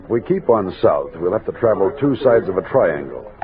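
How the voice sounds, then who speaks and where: An actor playing a brisk, kindly commanding American Army captain in a radio drama, plain-spoken and used to giving orders. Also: male voice, low-pitched, big pitch swings, crowd noise. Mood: patient, explaining. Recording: muffled old radio recording.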